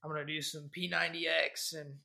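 A man's voice: on the high side, little pitch movement, a little fast, vocal fry, sharp tone. A man in his twenties from Kansas, hosting a casual podcast chat in a loose, conversational way with many fillers. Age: 20s. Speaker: podcast host.

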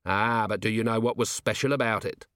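A man's voice: on the low side, steady tone, fairly quick, fairly bright tone, dry recording, clean studio sound, lightly acted. Man acting a cynical, sardonic police commander. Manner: sardonic, emphatic, blunt. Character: cynical world-weary police commander, middle-aged man.

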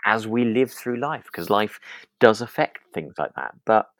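A man's voice: lowish voice, expressive, unhurried, no room sound. A British man co-hosting a podcast in casual chat, agreeable and a little rambling as he feels his way through sentences. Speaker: podcast co-host.

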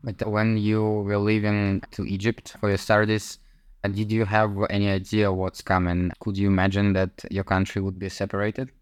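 A man co-hosts a casual interview podcast with a low, smooth voice. The sound is dry and close. He asks questions in a relaxed, friendly way. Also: moderate pace, no room sound. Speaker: podcast co-host.

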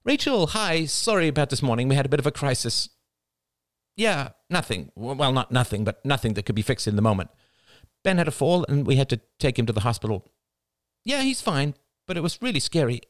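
A man's voice: on the high side, huge pitch swings, drawn-out words, creaky, pauses often, voice pushed up, full character voice. Audiobook role: anxious, courteous young mum, raised voice with lots of movement man performing. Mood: bright, apologetic. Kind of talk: audiobook character voice.

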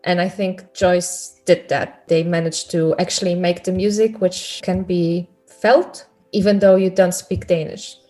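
Podcast co-host, woman, measured and reflective, hunts for words. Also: on the low side, flat delivery, mellow, faint music behind, low-quality recording. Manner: thoughtful, searching for words with fillers. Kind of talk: casual chat about music.

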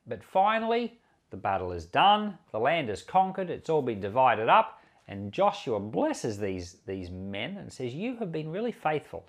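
Man, pastor, animated intonation, earnest and conversational, scripture reading and commentary, repeats for emphasis. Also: mid-range voice. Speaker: pastor and podcast host.

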